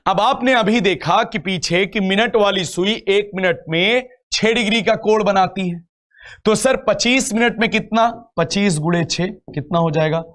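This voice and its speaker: High-pitched male teacher. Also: a little slow, fairly bright tone. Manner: repeats phrases, asks rhetorical questions. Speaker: reasoning teacher for exam coaching. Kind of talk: live online lecture, explaining step by step.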